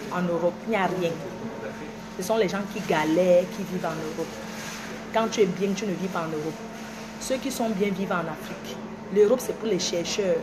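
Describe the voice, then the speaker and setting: A woman from Cameroon with a Cameroonian French accent, lecturing her followers emphatically and repetitively in a live video from a train station. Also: mid-range voice. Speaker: social media personality. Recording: noisy station ambience behind her.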